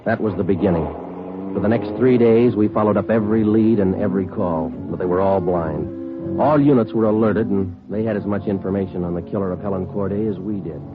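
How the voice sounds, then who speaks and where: A man's voice: low-pitched, a little fast, close to an everyday voice. An American man acting a terse, dogged police sergeant in a 1950s radio drama, clipped and matter-of-fact. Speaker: detective and narrator. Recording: muffled vintage radio recording, music behind.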